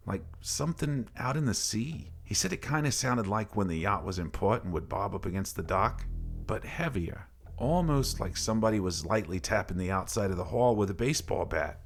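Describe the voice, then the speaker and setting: A man narrating a creepy first-person tale like a salty, colloquial barroom yarn, dramatic and gravelly, with swearing. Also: lowish voice. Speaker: horror story narrator. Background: faint ambient background music.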